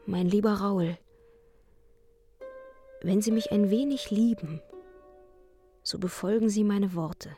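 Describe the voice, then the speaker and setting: Actress as husky, pleading young woman. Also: mid-range voice, mellow, lightly acted. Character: anxious young woman pleading in a farewell letter. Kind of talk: radio drama letter reading.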